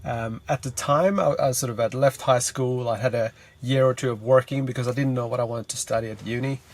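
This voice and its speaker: A man's voice: mid-range voice, fairly even intonation, fairly quick. A Swedish man in his thirties, a guest on a podcast, answering thoughtfully with lots of fillers while he sits in a parked car. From Sweden. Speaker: guest, energy consultant. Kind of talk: casual interview answers. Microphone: podcast mic on a remote call.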